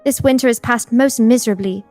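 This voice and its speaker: A woman plays an affectionate young gentlewoman in a classic audiobook, reading a letter with tender worry. Her voice is fairly high and closely recorded, over faint music. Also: brisk, no room sound, natural voice. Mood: tender concern.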